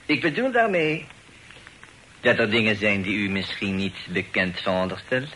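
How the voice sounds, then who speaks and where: An actor playing a sly, polite, teasing portrait painter and landlord with a French accent and halting phrasing sprinkled with French, in a Dutch radio play. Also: male voice, lowish voice, lively intonation, pushed and bright. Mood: knowing, confidential. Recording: noisy old radio play recording.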